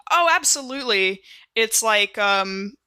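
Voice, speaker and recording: A woman with a bright, punchy voice plays along in a tabletop game. She is slangy, jokey and animated, improvising casually. The recording is dry and close. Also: mid-range voice, measured pace, clear, resonant tone, no echo. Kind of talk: casual improvised role-play banter.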